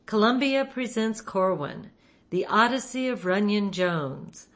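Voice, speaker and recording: A woman's voice: mid-range voice, expressive, a little slow, fairly bright tone. A woman giving a scripted introduction as host of a radio programme.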